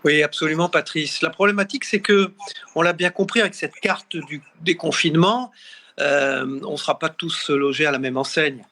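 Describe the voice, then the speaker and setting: A man's voice: on the high side, fairly even intonation, moderate pace, sharp tone. A man from Bordeaux speaking as a panel guest, hesitant and repeating words as he thinks. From Bordeaux, France. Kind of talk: panel discussion by video call.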